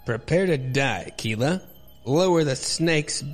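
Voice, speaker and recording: A man voicing a boastful villainous warrior from a comic book, declaiming slowly and with menace. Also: a little high, expressive, faint music behind. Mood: menacing.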